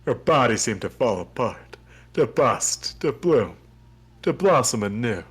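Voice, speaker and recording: A man's voice: mid-range voice, big pitch swings, unhurried, fairly bright tone, slightly rough. A man streaming a game, reading its narration aloud theatrically and chatting about it. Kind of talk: dramatic read-aloud of game narration mixed with joking chat.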